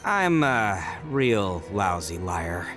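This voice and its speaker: An actor playing a brash, good-hearted young half-oni gang leader in an anime-style video game, slangy and cocky. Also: male voice, fairly low pitch, sing-song, drawn-out words, full character voice. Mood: earnest. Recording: background game music.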